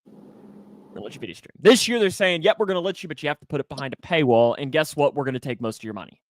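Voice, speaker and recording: Animated young man talking sports. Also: on the high side, fast, no room sound. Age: 20s. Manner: emphatic, repeats phrases for emphasis. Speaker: sports show host and broadcaster. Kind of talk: casual sports talk.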